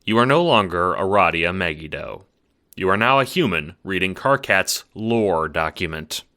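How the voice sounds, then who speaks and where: Deep-voiced man narrating in second person. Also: expressive, normal speed, bright, forceful tone. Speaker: narrator and producer.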